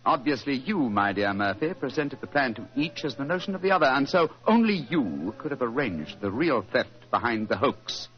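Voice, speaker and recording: Male actor playing urbane British detective, 1940s radio drama, very animated intonation, precise, suavely superior, teasing, dull vintage sound. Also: mid-range voice, moderate pace, hardly any pauses, faint music behind, natural voice. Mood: confident, explaining.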